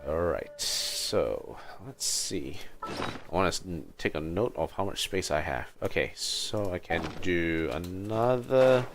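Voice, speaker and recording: A man's voice: low-pitched, expressive, slow, noisy background. A man giving casual livestream commentary on a video game, often muttering to himself as he goes. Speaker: game streamer. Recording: game music behind the voice. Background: video game music.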